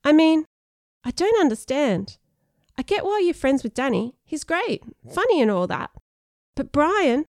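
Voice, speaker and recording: A woman's voice: sits high, sing-song, a little fast, darkish tone, no room sound, studio quality, voice pushed up. A woman voicing an awkward, earnest schoolboy in an audiobook story. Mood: hurt, blurting.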